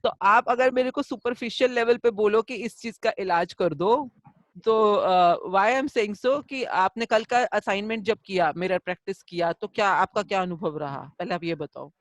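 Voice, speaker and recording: A woman's voice: mid-range voice, normal speed, dry recording. A middle-aged woman teaching a gratitude course over a video call, mixing Hindi and English and acting out everyday examples as she answers a student. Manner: mixes Hindi and English, answers with examples and lively acted quotes. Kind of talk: live online class, coaching a student.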